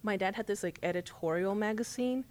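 A woman's voice: medium pitch, a little fast, a little mellow. A woman from South Texas whose first language is Spanish, a sports reporter chatting casually as a podcast guest, telling stories with lots of "like" and easy laughter. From South Texas, USA. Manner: chatty, storytelling, frequent "like" and laughs. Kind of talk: casual interview chat.

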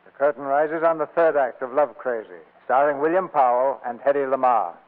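A man's voice: medium pitch, a little fast. A man hosting a vintage radio drama, narrating the scripted scene in a theatrical presenter's style. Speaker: host and producer. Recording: tinny vintage radio broadcast. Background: music.

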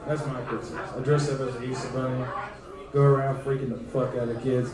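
A man co-hosting a rowdy comedy podcast, telling crude stories loudly. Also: mid-range voice, flat delivery, brisk. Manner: loud, profane joking storyteller. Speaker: podcast co-host. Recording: echoey room, mic far off, crowd behind.

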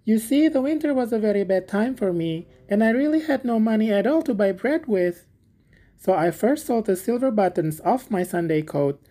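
A woman's voice: medium pitch, dark tone, voice pushed up. A woman voicing a small, kind, poor young male gardener who talks eagerly and humbly, in a storybook reading.

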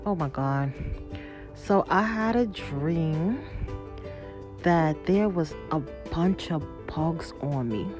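A woman's voice: low-pitched, slow, over music. A woman hosting a podcast, telling a personal story in a casual, colloquial way and acting out what people said. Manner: animated retelling, acts out quoted dialogue; colloquial, informal grammar. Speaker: podcast host.

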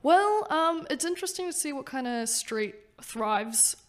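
Woman in her twenties, podcast guest, giggly, playful, somewhat high voice. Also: expressive, a little hiss or hum. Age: 20s. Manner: giggly, quick agreeing, playful. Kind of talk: casual podcast chat.

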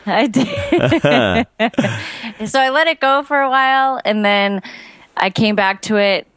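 A woman's voice: on the high side, slow pace, fairly bright tone, clean tone, no echo, studio quality. A woman from Romania who grew up in Chicago, a musician guest chatting in a friendly, easygoing way. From Romania, raised in Chicago. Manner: friendly, chatty, laughs easily. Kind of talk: casual interview.

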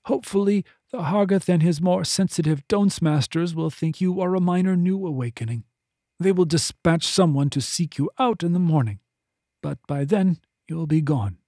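A man putting on a lofty, authoritative nobleman with a formal, measured way of speaking, his voice pitched well above the narrator's own. It is recorded close and dry. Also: dark tone, dry recording.

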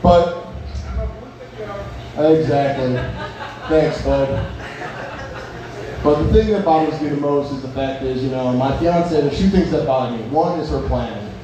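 A man's voice: mid-range voice, a little slow, pauses often, highs cut off. A young man doing a stand-up set at a bar open mic, working the crowd in a crude, rambling, self-mocking way. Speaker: stand-up comedian. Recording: echoey bar from far off, noisy.

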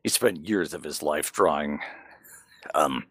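A man's voice: a bass voice, a little slow, fairly bright tone, husky, dry recording, studio quality. A man co-hosting a podcast, chatting casually and frankly about games and manga, with some swearing. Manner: profane, frank. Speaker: podcast co-host.

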